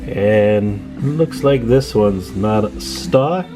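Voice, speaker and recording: A man with a low voice talks over clearly audible plucked guitar music. He presents like a hobbyist at the bench, casual and folksy, thinking out loud as he walks through what is in front of him. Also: expressive, drawn-out words. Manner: musing, thinking aloud, folksy. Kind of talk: casual hands-on repair walkthrough.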